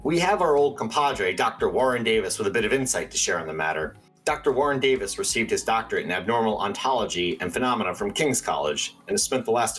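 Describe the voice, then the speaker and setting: Husky voice, male actor as excitable paranormal talk radio host, very animated, theatrical, conspiratorial, radio play. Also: on the low side, full character voice. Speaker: radio call-in show host.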